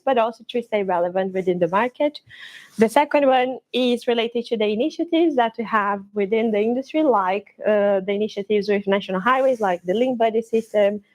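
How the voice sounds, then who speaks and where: A woman from Brazil in her thirties with a Brazilian Portuguese accent, presenting at a conference with some halting restarts. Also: medium pitch, a little mellow. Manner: slightly halting, with restarts and fillers.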